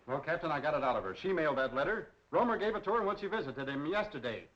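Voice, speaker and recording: An actor playing a brisk, businesslike police detective in a 1930s film. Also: male voice, a little high, expressive, fairly bright tone. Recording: dull 1930s film soundtrack.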